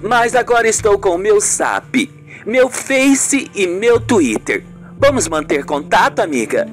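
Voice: medium pitch, sing-song, slow pace, faint music behind, voice pushed up. A performer voicing a scheming woman posing as a sweet new friend in an amateur radio soap opera. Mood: sweetly chummy.

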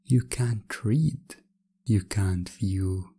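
Man, hypnosis ASMR narrator, soft mellow tone, breathy near-whisper, hypnotic repetition, commanding. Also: lowish voice, very slow. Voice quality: breathy, often dropping to a whisper.

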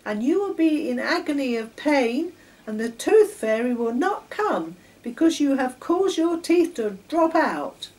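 A woman's voice: high voice, very animated, unhurried, darkish tone, voice pushed up. A woman voicing a superior, nagging young girl from a storybook, scolding and lecturing emphatically. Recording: distant mic, noticeable background noise.

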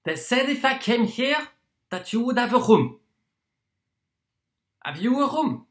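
Slow, theatrical wandering torturer acted out in monologue. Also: medium pitch, big pitch swings. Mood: ingratiating, probing. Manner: theatrical, deliberate, with dramatic pauses and swings. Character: proud wandering torturer from Poland, wheedling then contemptuous.

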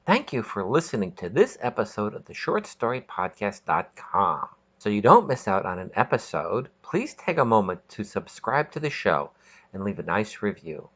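A man's voice: lowish voice, big pitch swings, normal speed, a bit bright. A man hosting and narrating a scripted short story podcast in a dramatic, emphatic way.

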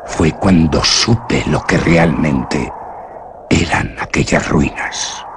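Anguished, deep-voiced lost dead man. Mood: bleak dread. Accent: Castilian Spanish. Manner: halting, repeating words in horror. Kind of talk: dramatic radio horror monologue. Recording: noisy radio drama with ambient sound effects.

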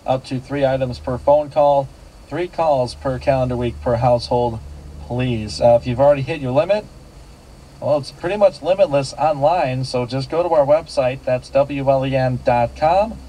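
A man's voice: medium pitch, normal speed, dark tone, a little hiss or hum, rough recording. A man hosting a local radio classifieds call-in show, politely repeating details back. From United States.

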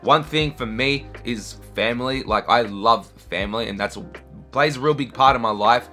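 A man of twenty-two, vlogging casually to camera in his bedroom, laid-back and slangy, his voice deep from just waking up. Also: normal speed, sharp tone, faint music behind. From Argentina, raised in Australia. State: deep morning voice, just woke up. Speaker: fashion YouTuber. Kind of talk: casual vlog Q&A. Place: bedroom with shoe shelves, indoors.

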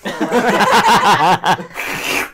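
A woman's voice: medium pitch, expressive, extremely slow. A woman joking casually as a player in a tabletop game. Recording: slightly distant mic, faint noise.

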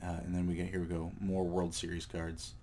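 Man, slightly low voice, has a cold, rambling casual vlog talk, indoor table. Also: a little fast, a little hiss or hum. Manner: relaxed, rambling, with fillers and self-corrections. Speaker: card collecting channel host. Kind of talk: casual show-and-tell vlog.

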